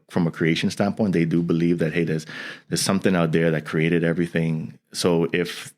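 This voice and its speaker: A man from the Bahamas, a scientist and educator, talking earnestly in an interview, often restarting his sentences. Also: low voice. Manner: earnest, with frequent restarts and "you know". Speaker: guest, environmental scientist and educator. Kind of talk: conversational interview.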